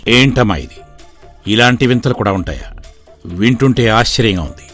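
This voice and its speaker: A man voicing a gentle, protective village father who speaks in a calm, cautious way, in an animated story. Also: fairly low pitch, normal speed, faint music behind. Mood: concerned.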